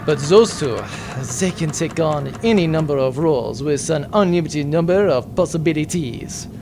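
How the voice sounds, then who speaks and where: Man playing a ship mechanic, creaky voice. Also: a little high, expressive, normal speed, background noise. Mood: wry, musing. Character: French ship's mechanic, clever, dry, self-effacing man. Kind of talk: amateur sci-fi audio drama acting.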